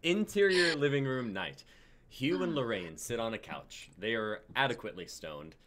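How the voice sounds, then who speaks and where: A man's voice: medium pitch, lively intonation, normal speed, a loud crowd. A man in his twenties reading out stage directions as scripted narration on a video call. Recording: clear noise on the mic.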